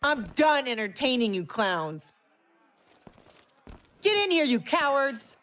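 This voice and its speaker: A woman's voice: sits high, big pitch swings, slow, heavily acted. An actress playing a tough, defiant special forces officer who snaps back at her captors in a video game cutscene. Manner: defiant, shouting.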